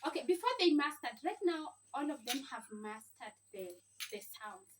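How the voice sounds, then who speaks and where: A woman's voice: high-pitched, expressive, moderate pace. A woman speaking as a mother and teacher in an informal instructional vlog, explaining things in simple phrases. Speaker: mother teaching her children to read. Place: at home. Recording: distant mic, faint background noise.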